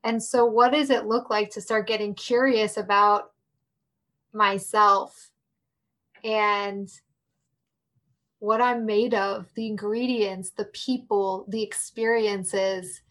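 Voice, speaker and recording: A woman speaking as a podcast interview guest, reflective and warm in tone, laughing often and addressing the listeners directly. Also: medium pitch, fairly even intonation, takes it slowly.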